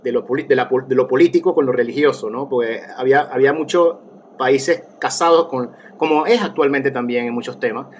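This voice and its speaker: A man's voice: medium pitch, fairly quick, slight background noise. Rambling man musing. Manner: rambling, many restarts and fillers, reflective. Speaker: interview guest, therapist. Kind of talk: casual conversational interview.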